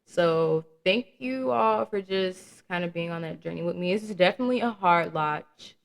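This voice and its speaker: A woman's voice: lowish voice, moderate pace, gravelly. A young woman around twenty hosting her own podcast, talking candidly and casually with slang, swearing and laughing at her own jokes. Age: young adult. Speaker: podcast host. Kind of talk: casual solo podcast chat.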